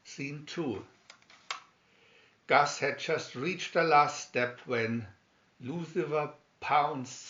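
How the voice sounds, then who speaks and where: A man narrating a fairy tale as a scripted storybook, reading aloud deliberately. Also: mid-range voice, a bit bright, roomy sound, lo-fi sound. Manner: deliberate read-aloud delivery.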